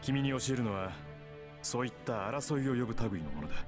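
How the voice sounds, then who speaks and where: An actor voicing a calm adoptive father, a mage explaining things to a boy in a measured way in a visual novel. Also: medium pitch, close to an everyday voice. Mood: gentle instruction. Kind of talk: Japanese visual novel voice acting. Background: music.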